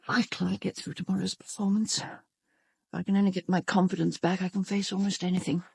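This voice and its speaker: An actress playing a vain, self-absorbed stage star with a British accent, haughty and melodramatic in a radio drama. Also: female voice, fairly low pitch, little pitch movement, fast talker, gravelly, darkish tone, husky, no echo, clean studio sound, exaggerated voice. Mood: brisk, evasive.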